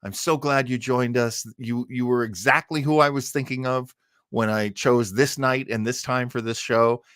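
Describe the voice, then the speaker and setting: A man's voice: mid-range voice, steady tone, normal speed, fairly bright tone, clean tone, dry recording, studio quality. A man hosting a casual livestream, rambling enthusiastically as he answers viewer comments. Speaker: host of an old-time radio listening show.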